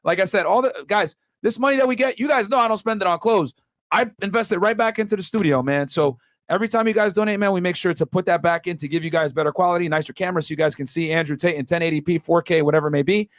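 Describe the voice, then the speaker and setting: A man hosting a podcast, casually reading out listener messages and thanking senders in slangy, bro-heavy shout-outs. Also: sits high, quick pace, no room sound, studio quality. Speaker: podcast host.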